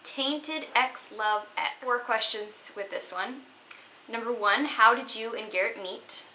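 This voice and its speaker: A woman's voice: on the high side, unhurried, pushed and bright, a little hiss or hum, low-quality recording. A woman in her twenties casually answering viewer questions at home, chatty and giggly with lots of ums and likes. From Texas. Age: 20s. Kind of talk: casual chat. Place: home room indoors. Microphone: webcam at desk.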